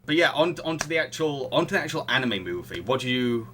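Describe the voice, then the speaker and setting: A man's voice: medium pitch, sing-song, quick pace, pushed and bright, a little hiss or hum. A man hosting a casual podcast discussion, often chuckling as he talks. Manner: chuckles often while talking. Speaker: podcast host.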